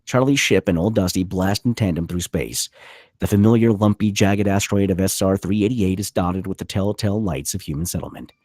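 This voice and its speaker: A man's voice: deep voice, fairly quick, a little mellow, no echo, studio quality. A man narrating a screenplay at a table read, reading out scene headings and stage directions.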